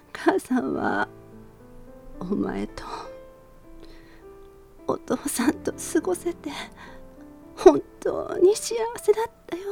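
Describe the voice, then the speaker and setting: A woman playing a gentle dying mother in a sentimental radio drama, speaking tenderly and breathily to her son, at times near a whisper. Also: high-pitched, gravelly, pitched above the performer's own voice, full character voice. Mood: tearful, grateful.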